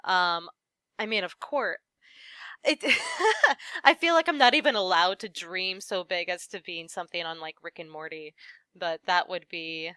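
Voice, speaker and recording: Young woman, interview guest, chatty, laughs often, smooth resonant voice. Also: lowish voice, unhurried, bright, forceful tone, dry recording. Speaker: voice actress, guest. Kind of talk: casual interview chat.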